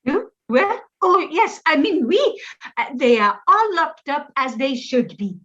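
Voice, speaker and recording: High, sharp, flustered lady pigeon. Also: very animated, unhurried, heavily acted. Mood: flustered, indignant. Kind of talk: comedy audio drama. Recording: muffled online call recording.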